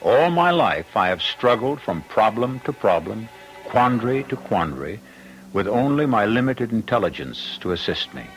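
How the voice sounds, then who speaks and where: Deep, wry, theatrical radio host. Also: male voice, sing-song, normal speed, soft background music, rough recording. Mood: wry musing. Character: wry, droll storytelling host. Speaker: host of a radio mystery series. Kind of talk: 1970s-style radio drama host narration.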